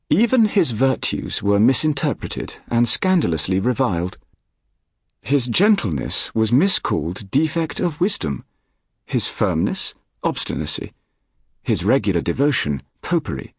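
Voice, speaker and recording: A man reading a nonfiction audiobook in a measured, articulate, formal manner. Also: on the low side, breathy edge, dry recording, studio quality. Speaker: audiobook narrator. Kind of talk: scripted nonfiction audiobook narration. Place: dry recording studio.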